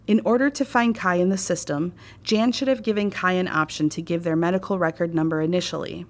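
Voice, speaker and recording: A woman with an American accent reading scripted instructional narration in a measured, formal way. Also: lowish voice, steady tone. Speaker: training narrator.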